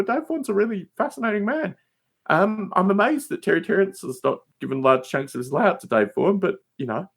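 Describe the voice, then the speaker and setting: A man chatting casually as a podcast guest, telling digressive anecdotes with emphatic asides. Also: mid-range voice.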